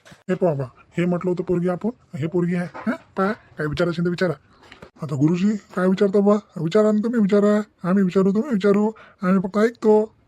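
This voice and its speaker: A man's voice: sits high, fairly even intonation, brisk, dark tone, no echo. A man voicing a questioning village matchmaking figure in a comic cartoon, colloquial, on a noisy track. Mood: brisk, questioning. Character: village men at a matchmaking visit.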